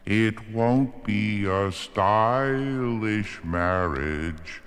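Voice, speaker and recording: A man voicing a calm, polite, menacing ship's computer with a measured, unemotional delivery in a children's read-along audio drama. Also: on the low side, smooth. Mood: singing, winding down. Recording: music under the voice.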